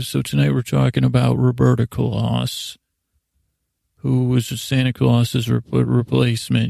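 Male bedtime podcast host: mellow, very dry sound, lulling, hesitant rambler who wanders off and circles back. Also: mid-range voice, no echo. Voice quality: creaky, gravelly. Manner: hesitant, meandering, repeats and trails off; lulling, soothing delivery.

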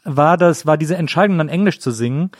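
A man's voice: fairly high pitch, brisk, no echo, clean studio sound. A middle-aged man hosting an interview in his living room, talking with enthusiasm and rambling through many fillers. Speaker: host. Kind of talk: casual interview chat.

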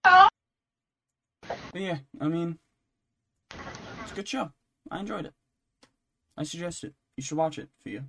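A man's voice: a little high, wildly swooping pitch, unhurried, gravelly, pauses often, background noise. A man co-hosting a podcast, chatting casually about pop culture and thinking aloud as he rambles. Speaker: podcast co-host.

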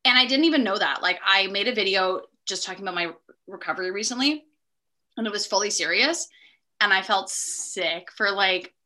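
A woman with a bright, forward voice talks as a comedian guest on a podcast. She speaks candidly and keeps up a quick conversational clip. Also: mid-range voice.

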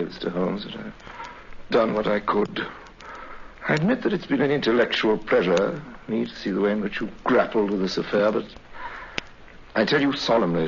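Deep-voiced, courtly English criminal mastermind. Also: male voice, gravelly. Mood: solemn menace. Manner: formal, unctuous, deliberate. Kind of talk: 1950s radio drama acting. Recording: muffled, faintly hissy 1950s radio recording.

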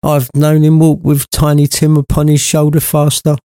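A man's voice: fairly high pitch, monotone, moderate pace, warm tone, dry recording, studio quality, voice pushed up, natural voice. Man voicing a sad mother, raised voice. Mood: sad, tender. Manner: sorrowful. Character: sad, softhearted mother of a poor family. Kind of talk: storybook character voice.

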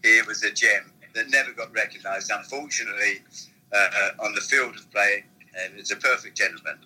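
A man's voice: lowish voice, fairly quick, bright, forceful tone, husky, rough recording. An older man, a former football manager, telling rambling stories from his past in an interview. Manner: rambling anecdotal storytelling. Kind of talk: reminiscing interview.

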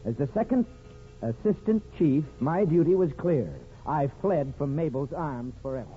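A man's voice: fairly high pitch, animated, moderate pace, natural voice. An actor playing a weary, plain-spoken small-town barber and father, rueful and wry, acting and narrating in a 1950s radio drama. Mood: wry, reflective. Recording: phone-like old radio broadcast with music.

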